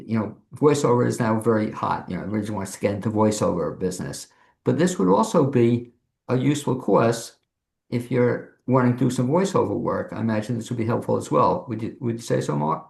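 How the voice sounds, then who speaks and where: A man's voice: mid-range voice, a little fast, vocal fry, a little mellow. A man hosting a casual interview and putting questions to his guests, recorded with a roomy echo. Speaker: interviewer, host. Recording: roomy, echoing voice pickup.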